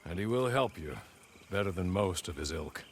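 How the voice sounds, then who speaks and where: A proper, polite servant in a western video game who speaks with dry, deferential formality and a touch of wryness. His voice is fairly low. A faint outdoor ambience sits behind him. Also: lively intonation, normal speed, a little hiss or hum.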